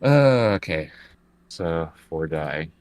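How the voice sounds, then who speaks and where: A man with a deep voice takes part in a tabletop role-playing game as a player. His talk is casual and a little halting, often feeling its way through questions about what he can do. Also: lively intonation, darkish tone.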